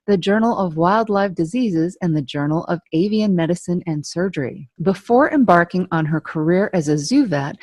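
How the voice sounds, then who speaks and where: A woman hosting a podcast, reading a guest introduction steadily and then asking casual, lively questions. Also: fairly low pitch, no echo. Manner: measured reading, then laughing, animated questions. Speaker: podcast host. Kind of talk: read introduction, then casual interview chat.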